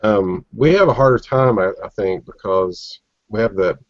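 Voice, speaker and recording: A man's voice: fairly low pitch, animated, normal speed, no room sound. A man chatting casually and informally as he leads a panel discussion on a video call, in folksy phrasing. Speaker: collector panelist leading discussion. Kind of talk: casual chat. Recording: dull lo-fi video-call audio.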